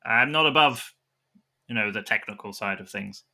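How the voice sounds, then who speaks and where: A man improvising chat as a cast member, halting and self-effacing. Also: lowish voice, vocal fry, bright, forceful tone. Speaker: roleplay show cast member.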